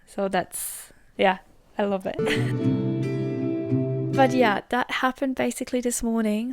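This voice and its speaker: A woman's voice: a little high, darkish tone, no echo. Young woman musing in a rambling way. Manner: rambling, heavy use of "like", drops to whispers at times. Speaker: podcast host. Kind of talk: reflective solo podcast monologue.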